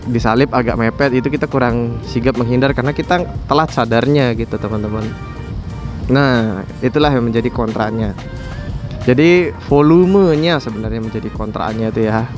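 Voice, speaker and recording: A man talking casually to viewers as a motorcycle vlogger, explaining things conversationally and often checking in with his listeners. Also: medium pitch. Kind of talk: casual motovlog talk to viewers.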